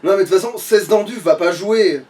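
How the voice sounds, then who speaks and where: A man's voice: sits high, fairly quick, a little mellow. A man chatting casually through a video game, swearing and muttering as he repeats himself. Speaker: let's player. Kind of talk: casual gameplay chat with swearing. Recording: distant mic, faint background noise.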